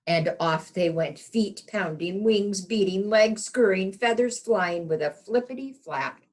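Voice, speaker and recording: A woman reading a storybook aloud as a kindergarten teacher, expressive and pausing to ask young children questions and point things out. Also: mid-range voice.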